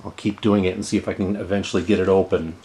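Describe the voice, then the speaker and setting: A man's voice: sits low, fairly even intonation, fast talker, warm tone. A middle-aged man giving casual hands-on tutorial narration, explaining plainly and repeating words for emphasis. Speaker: host of a how-to tech repair video.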